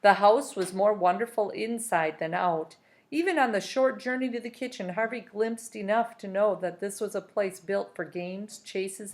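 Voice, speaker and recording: A woman narrating a children's novel aloud as a storybook reader. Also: medium pitch.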